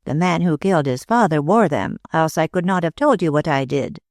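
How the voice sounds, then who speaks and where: A reader voicing a thin, pale woman clairvoyant who speaks slowly and with emotion, in an audiobook. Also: mid-range voice, animated, vocal fry, no echo, clean studio sound. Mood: calm. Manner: slow, emotional, solemn. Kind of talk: audiobook reading.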